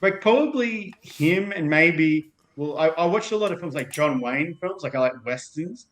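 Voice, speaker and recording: A man's voice: on the high side, lively intonation, moderate pace, fairly bright tone. An Australian man in his thirties, chatting as a podcast guest in a rambling way with many fillers and restarts. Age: 30s. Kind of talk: casual chat.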